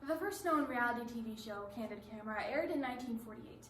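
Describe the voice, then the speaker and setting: A woman's voice: a little high, animated, quick pace, fairly bright tone. A woman performing in a readers theatre piece with rehearsed, theatrical delivery. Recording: roomy stage recording with background hiss.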